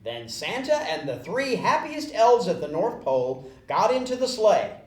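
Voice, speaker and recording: Female narrator for kids' story, mid-pitched, lively melody, reverberant room. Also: a little slow. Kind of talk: scripted children's story reading. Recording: roomy echo.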